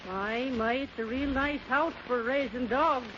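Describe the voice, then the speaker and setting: An actress playing a plain-spoken wife who gently chides her husband in homespun grammar, in a 1930s radio comedy. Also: high-pitched, unhurried. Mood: easygoing, doubtful. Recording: noisy muffled 1930s radio recording.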